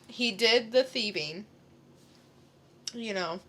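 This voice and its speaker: High, bright-voiced woman co-hosting a podcast. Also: slow pace. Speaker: podcast co-host. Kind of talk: casual film review chat.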